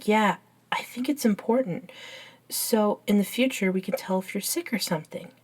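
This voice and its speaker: A woman voicing an eager, curious, nerdy young best friend in a scripted ASMR roleplay, chatting and explaining in an unhurried, softly enthusiastic way. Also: medium pitch, slightly rough, close to an everyday voice. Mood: earnest, curious. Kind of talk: ASMR roleplay, scripted one-sided conversation.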